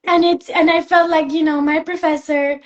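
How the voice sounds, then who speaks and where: A young woman from Spain, a fashion student, chatting in an interview, soft-spoken with many fillers and restarts. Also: very high-pitched, flat delivery, moderate pace, muffled recording. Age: young adult. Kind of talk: casual interview chat.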